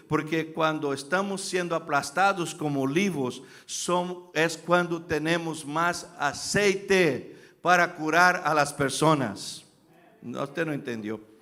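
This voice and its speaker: Un pastor de origen brasileño, con acento brasileño al hablar español, predica un sermón. Alterna relatos en voz baja con exhortaciones gritadas a la congregación. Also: male voice, on the high side. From Brazil. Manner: swings from quiet storytelling to loud shouted preaching, calls out to the congregation. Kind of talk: sermon. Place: church sanctuary.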